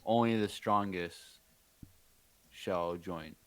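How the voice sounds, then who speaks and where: Deep voiced guy, slow talker, dry sound, casual podcast chat during a game. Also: fairly bright tone, dry recording. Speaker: podcast co-host playing a text adventure.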